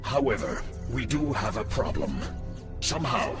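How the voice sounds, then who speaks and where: Man as a deferential, animated military lieutenant. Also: mid-range voice, normal speed, natural voice. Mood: dutiful, measured. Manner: formal military briefing. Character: suave, deferential male lieutenant to villain queen. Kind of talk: dramatic video game acting. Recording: game music underneath.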